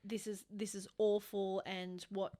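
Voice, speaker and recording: A woman's voice: mid-range voice, little pitch movement, measured pace, dark tone. A woman co-hosting a true crime podcast, reacting and commenting in a casual, somewhat tentative conversational way.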